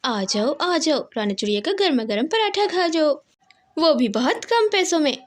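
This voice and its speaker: A woman voicing a gentle, worried but hopeful widowed mother bird in a children's cartoon story, earnest and reassuring. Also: high-pitched, expressive, moderate pace. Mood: calling out.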